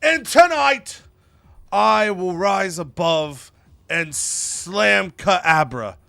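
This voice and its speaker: A man voicing a nervous, theatrical wrestler who declaims dramatically and drops into flat asides for forgotten lines. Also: high voice, very slow, vocal fry, no echo, pitched above the performer's own voice, exaggerated voice. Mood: grandiose. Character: hammy, nervous, theatrical male wrestler forgetting his lines.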